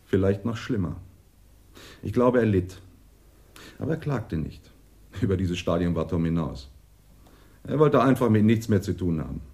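An actor playing a melancholy male witness in a German radio crime drama, reflective and halting. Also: deep voice, animated, mellow. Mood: grave, reflective. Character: male neighbour of a dead man, melancholy police witness.

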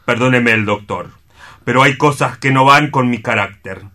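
An actor playing a gloomy, solemn and indignant war-scarred orderly in a radio play, grave, formal and plaintive. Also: male voice, medium pitch, moderate pace, bright, forceful tone, lightly acted.

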